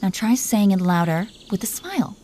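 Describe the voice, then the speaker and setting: A woman's voice: medium pitch, big pitch swings, moderate pace, mellow. Woman playing an imperious, teasing dragon queen. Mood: stern, commanding. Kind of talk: intimate roleplay audio drama.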